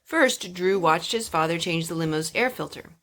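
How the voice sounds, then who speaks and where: A woman's voice: fairly low pitch, normal speed, fairly bright tone. A woman reading a picture book aloud to her young children, with singsong, coaxing asides to them. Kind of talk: storybook read-aloud with chatty asides to children.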